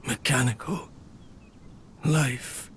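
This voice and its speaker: An actor playing an ailing, lonely inventor in a video game, talking to himself in a halting, sorrowful way. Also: male voice, mid-range voice, a bit bright, a little hiss or hum. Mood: despairing, apologetic. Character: ailing, lonely, obsessive male inventor, weary and regretful. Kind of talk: dramatic video game voice acting.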